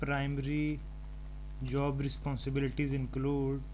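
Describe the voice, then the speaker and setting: A man presenting an unscripted step-by-step tutorial, haltingly thinking aloud as he types. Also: medium pitch, completely flat delivery, a little mellow. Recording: home recording with clear background noise.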